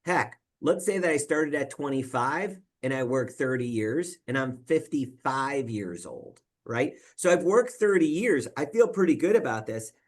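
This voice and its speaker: A man's voice: mid-range voice, expressive, unhurried, few pauses. A man presenting as a financial advisor, explaining step by step in a conversational way and often checking in with "right?". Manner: walks through worked examples step by step.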